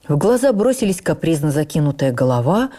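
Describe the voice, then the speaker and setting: An actress playing a stage actress who recalls her youth with admiring wonder, in measured, literary, expressive radio narration. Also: low voice. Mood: reflective, admiring. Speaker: actress reading a memoir on radio. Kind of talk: dramatic first-person memoir narration for radio.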